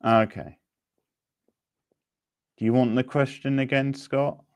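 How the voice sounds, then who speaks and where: A man hosting a casual live quiz, chatting informally with players and reading out options deliberately. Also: medium pitch, fairly even intonation, smooth. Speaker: quiz host.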